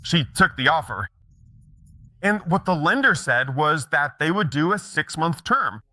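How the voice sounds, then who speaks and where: Middle-aged guy telling stories at a conference, keeps asking the crowd "okay?", really bright tone, slightly high voice. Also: animated, a little slow, dry recording. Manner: chatty, checks in with the audience. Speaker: mortgage broker giving a conference talk. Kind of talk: conversational conference storytelling.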